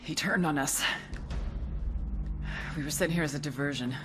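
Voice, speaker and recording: An actress playing a blunt, bitter and defiant American intelligence officer in a video game, speaking with profane intensity. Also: female voice, low voice, normal speed, background noise, close to an everyday voice. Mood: urgent. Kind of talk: cinematic video game acting.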